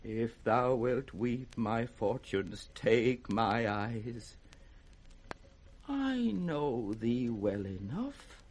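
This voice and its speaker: Crazy old british king, slow grand shakespeare ranting, swings from roars to mutters, old radio drama. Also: medium pitch, vocal fry, slight background noise, full character voice. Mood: broken, musing. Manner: declamatory, erratic, swinging from roaring to hushed. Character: mad, raving old king, wounded and grandiose. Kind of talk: 1960s radio Shakespeare acting.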